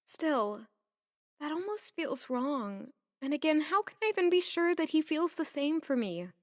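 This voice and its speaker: An actress plays a young maid in a fantasy audio drama, anxious and smitten. Her voice is high and recorded close and dry. She speaks earnestly, with hesitation and self-doubt. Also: sing-song, a little slow, dry recording. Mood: unsure, earnest. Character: young palace maid, lovestruck, unsure, anxious woman.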